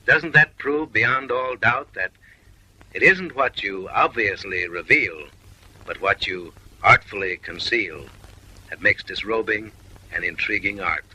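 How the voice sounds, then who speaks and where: Guy doing a wisecracking voice-over, lowish voice with a real sharp forceful edge, mock-posh and cheeky. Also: a little slow, slight background noise, low-quality recording. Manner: mock-refined, wry and suggestive, lecturing the ladies with teasing asides. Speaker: film narrator. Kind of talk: wisecracking comic voice-over narration.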